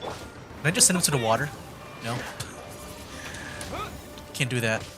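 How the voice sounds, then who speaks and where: A man gives casual livestream commentary on a game in a medium-pitched voice. He is chatty and slangy, reacting as he goes. Faint game music plays underneath. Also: expressive, a little slow. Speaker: game streamer.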